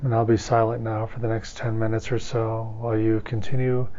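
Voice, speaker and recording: A man leading a guided meditation as a teacher, giving calm, gentle instructions slowly with long pauses. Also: fairly low pitch, lo-fi sound, slight background noise.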